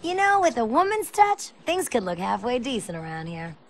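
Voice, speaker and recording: A woman's voice: fairly high pitch, very animated, normal speed. A woman playing a spunky, friendly young skater girl in a video game, sassy and slangy. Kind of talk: video game cutscene acting.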